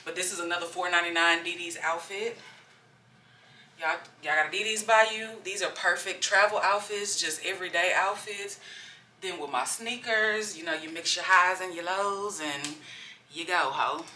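Bright-voiced, gushing woman vlogger. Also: lowish voice, normal speed, slight background noise. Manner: gushing, chatty, addresses viewers as y'all. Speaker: travel vlogger. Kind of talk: casual vlog chat to camera.

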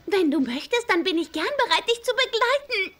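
A woman voicing an excitable, boastful, naive teenage schoolgirl in an animated series dub. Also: very high-pitched, fairly quick, heavily acted. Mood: reassuring.

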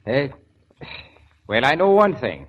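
A man's voice: medium pitch, huge pitch swings, slow, full character voice. An actor playing a grumbling, reluctant but good-natured policeman in a 1970s radio drama, full of blustery, exasperated protest. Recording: dull, noisy old radio recording.

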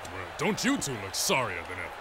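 An actor playing a tough, streetwise, gruffly friendly fighter in an animated video game. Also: male voice, low voice, a little fast, very noisy. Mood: teasing. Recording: loud music behind.

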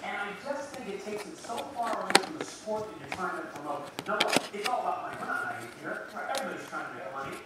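A man's voice: high voice, lively intonation, fast talker, few pauses, recorded from a distance. A man on a TV sports talk show, giving an earnest, opinionated argument. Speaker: TV sports commentator. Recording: TV heard from across a noisy echoey room.

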